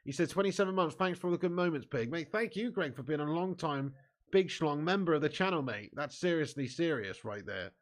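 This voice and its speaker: English lad hosting a livestream, slightly high voice, cheeky banter and laughing a lot. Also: male voice, animated, brisk. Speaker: football watch-along host. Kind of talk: casual live-stream chat reading viewer comments.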